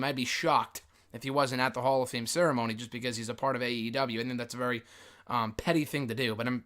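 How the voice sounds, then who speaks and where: Male host, recovering from a cold, hoarse and raspy, casual opinionated wrestling news talk, mid-pitched. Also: fairly bright tone. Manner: chatty, opinionated, frequent um fillers. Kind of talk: casual news commentary to camera.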